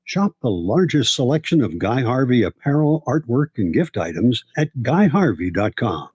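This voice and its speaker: A man reading a radio commercial in a smooth, scripted promotional style. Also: mid-range voice, very animated, normal speed, a little mellow, highs cut off. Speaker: ad voice-over. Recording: dry close studio read.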